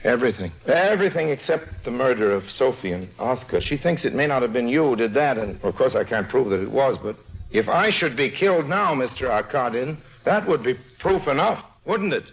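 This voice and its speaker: An actor playing a world-weary, wary adventurer who tells his tale deliberately and dramatically in a 1950s radio noir. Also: medium pitch, lively intonation, breathy edge, rough recording. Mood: tense, defiant.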